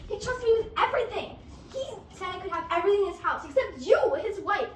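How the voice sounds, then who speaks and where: A woman's voice: extremely high pitch, animated, quick pace. A woman playing an upright, loyal young male servant in amateur reader's theatre, speaking earnestly. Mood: indignant. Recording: roomy, distant, faintly noisy.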